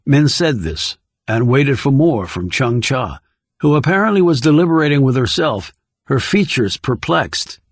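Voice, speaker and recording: A man's voice: mid-range voice, lively intonation, normal speed, no room sound. Male thriller audiobook narrator, close and dry.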